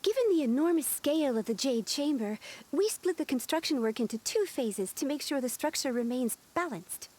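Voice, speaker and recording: Young female official for an anime game: high, animated pitch, polite, businesslike exposition. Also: moderate pace. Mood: informative, polite. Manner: formal, explanatory.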